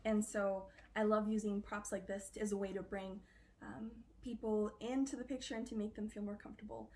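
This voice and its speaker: Woman, cosplayer interviewee, casual chatty delivery, middle pitch. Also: level tone, brisk, a little mellow, slight background noise. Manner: chatty, loose, with frequent fillers.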